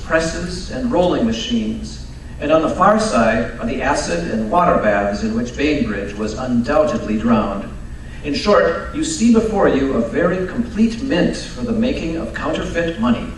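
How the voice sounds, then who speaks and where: A man playing a brisk, learned Victorian detective in a live old-time radio drama, precise, commanding and drily teasing. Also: medium pitch, expressive, natural voice. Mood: precise, explanatory. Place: live stage performance at radio club event. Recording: very echoey hall, distant mic, faint noise.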